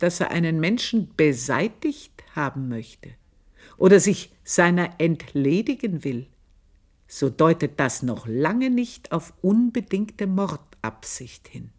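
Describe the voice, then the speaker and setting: A woman's voice: lowish voice, heavily acted. An older actress playing an aging housemaid who tells her story in a radio play, confiding and scornful. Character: old female housemaid, bitter and proud.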